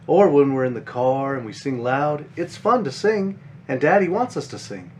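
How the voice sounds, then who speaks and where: A man's voice: medium pitch, expressive, normal speed, a little hiss or hum, close to an everyday voice. A man voicing a caring five-year-old big sister bunny from a children's picture book, explaining things simply and earnestly.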